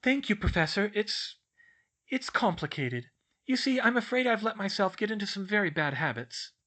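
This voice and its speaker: A man's voice: sits high, animated, normal speed, pushed and bright, pitched above the performer's own voice. A man voicing an earnest, anxious young schoolgirl who speaks politely and hesitantly. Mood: nervous.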